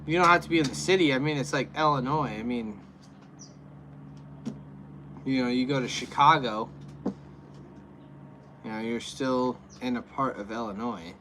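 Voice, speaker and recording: A man's voice: medium pitch, moderate pace, pauses often, noisy background. An American man hosting a livestream, answering viewer comments in a rambling, casual way full of fillers and restarts. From USA. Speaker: livestream host.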